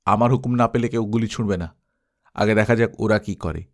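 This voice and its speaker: A man's voice: on the low side, a little fast, close to an everyday voice. A man voicing the male leader of a band of adventurers in an audiobook, exclaiming and authoritative. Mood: commanding.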